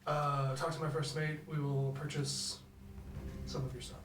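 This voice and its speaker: A man's voice: a little high, little pitch movement, a little slow, fairly bright tone, far from the mic, slight background noise. A man playing a tabletop game, talking eagerly and laughing easily. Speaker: player. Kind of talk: casual play chat. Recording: echoey room, mic from afar, music behind.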